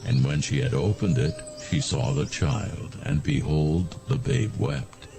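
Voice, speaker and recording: Male scripture narrator, heavy vocal fry, slow and solemn, with expressive rises and falls. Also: very deep voice, faint music behind. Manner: measured, solemn storytelling.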